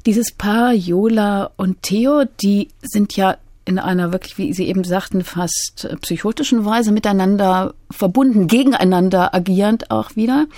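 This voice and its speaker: A woman's voice: medium pitch, normal speed, hardly any pauses, mellow, no room sound, clean studio sound. A woman hosting a literary radio interview in an engaged, analytical way.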